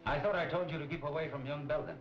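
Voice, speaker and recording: An actor playing a hard, middle-aged nightclub racketeer, curt and slangy, in 1940s crime film style. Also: male voice, on the high side, fairly even intonation, very fast, background noise, natural voice. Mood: menacing. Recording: dull 1940 film soundtrack, music behind.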